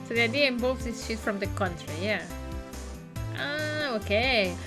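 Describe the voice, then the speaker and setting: A woman's voice: a little high, lively intonation, moderate pace. Rambly woman streaming a game, bouncy voice, music playing behind. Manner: chatty, rambling, many restarts. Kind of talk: casual game-stream chat.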